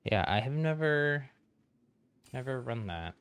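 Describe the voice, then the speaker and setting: A man's voice: medium pitch, lively intonation, measured pace, fairly bright tone, clean tone, no echo. A man streaming a game, chatting casually with viewers, friendly and chatty with frequent ums and laughs. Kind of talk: casual chat while gaming on stream.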